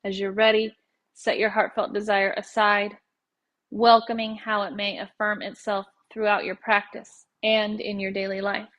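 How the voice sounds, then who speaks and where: Female meditation guide, calm and measured, very level mid-range pitch, unhurried phrasing with pauses. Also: dull audio.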